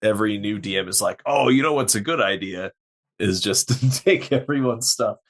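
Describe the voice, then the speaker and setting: Male podcast interviewer, fairly deep voice, informal conversational delivery. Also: normal speed. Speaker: podcast host. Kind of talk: casual interview.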